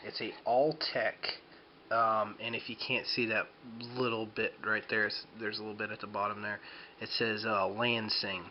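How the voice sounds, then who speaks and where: A man's voice: on the low side, flat delivery, moderate pace. A man giving an unscripted how-to demonstration, talking in a hesitant, rambling way as he explains. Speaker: DIY tutorial presenter. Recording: dull lo-fi home video, background noise.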